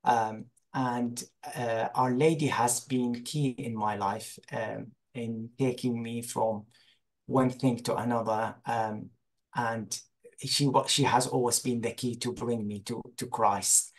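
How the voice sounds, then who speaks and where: Man, forties, Iraqi accent, medium pitch, hesitant interview guest, video call. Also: fairly even intonation, slow pace, poor audio. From Iraq. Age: 40s. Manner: hesitant, searching for words. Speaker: guest, religious teacher. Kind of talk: informal interview talk. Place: small room indoors, on a video call.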